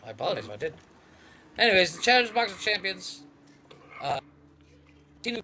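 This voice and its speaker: Bright-voiced easygoing male co-host. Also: high-pitched, very animated, brisk, slight background noise. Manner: easygoing, reading lists aloud. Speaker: podcast co-host. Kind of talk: casual live-stream chat.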